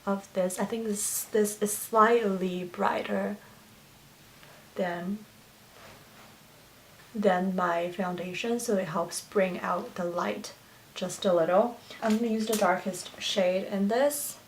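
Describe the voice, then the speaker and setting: A woman's voice: mid-range voice, steady tone, slow, a little hiss or hum, low-quality recording. A young woman whose first language is Chinese, chatting through a makeup tutorial in a gushing, filler-heavy way. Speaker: beauty vlogger. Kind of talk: get-ready-with-me makeup tutorial chat.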